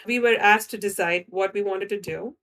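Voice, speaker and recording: A woman's voice: mid-range voice, normal speed, fairly bright tone. A woman from India with an Indian accent, a guest scientist explaining her work in a conversational interview, often checking in with "right?". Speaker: guest scientist in drug development.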